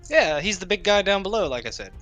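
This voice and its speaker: A man's voice: sits high, very animated, quick pace, a bit bright, no room sound, faint music behind, pitched above the performer's own voice. A man playing a breezy, nonchalant pirate captain with an offhand, rambling manner in a tabletop game. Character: breezy, casual pirate captain who shrugs off danger.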